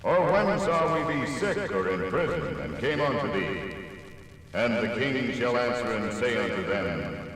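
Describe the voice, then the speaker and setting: An actor playing a solemn scripture reader, intoning biblical verse gravely and declamatorily for a 1950s radio drama. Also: male voice, medium pitch, animated, a little slow, a bit bright. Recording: cavernous reverberant distant old radio recording.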